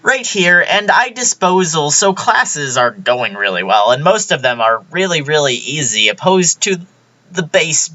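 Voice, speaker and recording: Bright, animated young vlogger reading aloud. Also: mid-range voice, unhurried, dull audio. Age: young adult. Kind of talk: deliberately reading a garbled transcript aloud.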